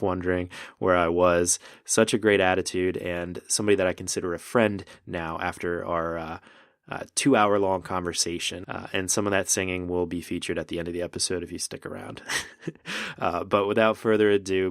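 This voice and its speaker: A man hosting a podcast, talking in a relaxed, casual way with frequent laughs and filler words. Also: low voice, clear, resonant tone, no room sound, studio quality. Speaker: podcast host. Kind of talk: casual interview chat. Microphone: remote call recording.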